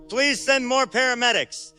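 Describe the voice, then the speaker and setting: A man's voice: very high voice, bright, forceful tone, exaggerated voice. A man playing a gloating, menacing undead man in a horror audio drama, mockingly polite and giggly.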